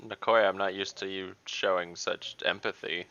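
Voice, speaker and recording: Deep, gravelly man playing a tabletop game. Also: steady tone, moderate pace, highs cut off, crowd noise. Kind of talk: in-character improvised game talk.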